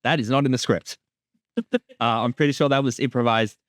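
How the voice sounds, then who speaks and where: A man's voice: medium pitch, steady tone, a little fast, dry recording, clean studio sound, soft background music. A man giving a casual, jokey creator's commentary with informal language and some swearing.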